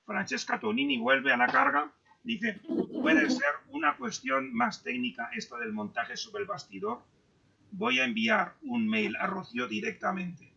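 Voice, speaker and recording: A man's voice: extremely high pitch, gravelly, pushed and bright, slightly rough. A man moderating an online Q&A, reading out messages and stumbling over his words on a video call. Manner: halting, stumbles and repeats words. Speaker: moderator reading audience questions. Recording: dull lo-fi video call audio.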